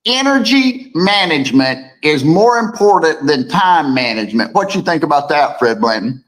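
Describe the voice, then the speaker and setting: Deep-voiced man co-hosting a morning chat. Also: very animated, moderate pace, fairly bright tone. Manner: rambling, repeats words, reads viewer comments aloud. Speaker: co-host. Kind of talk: casual morning show chat.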